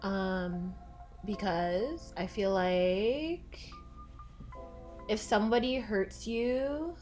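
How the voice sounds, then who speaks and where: A woman's voice: medium pitch, drawn-out words, darkish tone. Casting: young Toronto woman, mid-range voice, earnest and reflective, faint music. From Toronto area, Canada. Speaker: podcast co-host. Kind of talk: casual chat.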